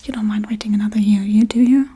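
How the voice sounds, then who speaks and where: A woman playing a scatterbrained, casually callous witch in a soft-spoken ASMR role-play, rambling in a breathy, mostly whispered voice. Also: mid-range voice, steady tone, fast talker. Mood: lazy, indifferent. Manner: offhand, rambling, blithely unbothered.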